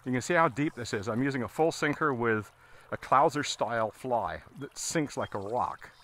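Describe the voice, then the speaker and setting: A man giving a casual, halting fly fishing tutorial as an instructor, talking while he works outdoors. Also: mid-range voice, lively intonation, a bit bright. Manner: halting, explaining as he casts. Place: outdoors, standing in a river. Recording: outdoor recording with water noise. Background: running water.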